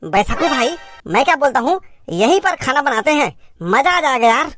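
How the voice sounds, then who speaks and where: A man voicing a fussy, argumentative villager in a cartoon comedy, with a Chhattisgarh accent, a pitched-up effect and insistent, emphatically repeated words. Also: big pitch swings, moderate pace, over music. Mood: delighted. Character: stubborn, fussy, argumentative male villager on a picnic.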